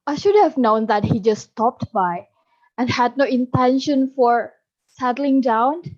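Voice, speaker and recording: A woman recites a spoken-word poem with a bitter edge. Her voice is high, and she takes each line slowly. The recording sounds dull and lo-fi. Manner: slow, measured, bitter delivery. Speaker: poetry contestant.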